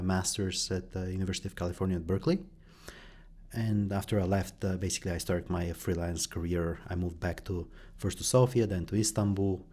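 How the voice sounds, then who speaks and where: Interview guest: middle-aged man, very deep voice, halting and thoughtful, lots of fillers. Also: darkish tone. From Bulgaria. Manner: hesitant, many fillers and restarts. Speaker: guest, journalist and professor. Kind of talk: conversational interview answers.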